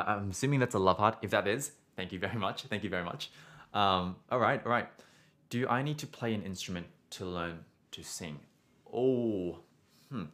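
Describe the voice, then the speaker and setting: Low-voiced male singing teacher. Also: a bit bright. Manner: casual, encouraging, frequent "right?" tags. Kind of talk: live-streamed Q&A lesson.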